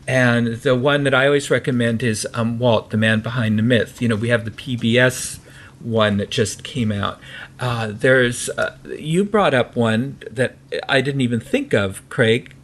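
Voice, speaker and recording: A man co-hosting a casual podcast in a General American accent. He talks chattily at a middling pitch, often restarting his sentences and padding them with fillers. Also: a bit bright. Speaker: podcast co-host.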